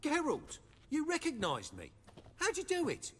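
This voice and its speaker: An actor playing an anxious, earnest shapeshifter in a fantasy game, timid but loyal and expressive. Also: male voice, very high voice, measured pace, long reverb tail, heavily acted. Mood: surprised, eager.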